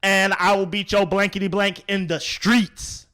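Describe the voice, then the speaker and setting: A male podcast host with a voice a little higher than average. He chats casually in a playful, slangy style with a teasing edge. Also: level tone, measured pace, bright, forceful tone, clean tone. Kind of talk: casual chat.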